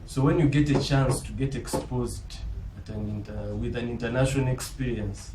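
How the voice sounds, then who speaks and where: A man with a Ugandan accent giving a formal, earnest, deliberate self-introduction as a business school applicant. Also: mid-range voice, fairly even intonation. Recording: roomy, distant mic, faint background noise.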